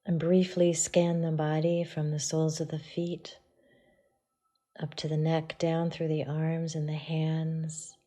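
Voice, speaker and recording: A woman teaching yoga meditation, guiding listeners in a soft, hushed delivery with pauses. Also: sits low, monotone. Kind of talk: guided meditation.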